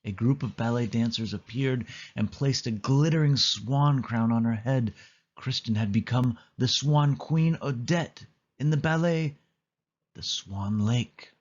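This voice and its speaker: A man's voice: medium pitch, a little slow, a little mellow, highs cut off. A man reading a scripted children's story aloud as a read-along narrator.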